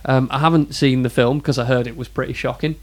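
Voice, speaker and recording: A man's voice: medium pitch, steady tone, quick pace. A man co-hosting a podcast, chatting casually about films with informal, hesitant phrasing. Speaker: podcast co-host. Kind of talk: casual film chat.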